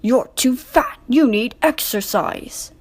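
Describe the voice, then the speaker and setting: A woman's voice: fairly high pitch, slow, breathy edge. A woman voicing a proud, impatient young male tank engine in a children's storybook, bossy and emphatic. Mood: cross, scolding. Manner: bossy, emphatic, chanting when hurrying.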